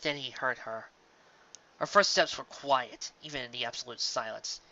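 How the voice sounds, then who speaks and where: A man narrating scripted fiction in a dramatic, emphatic storytelling manner that moves between loud and hushed phrases. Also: mid-range voice, normal speed, muffled recording.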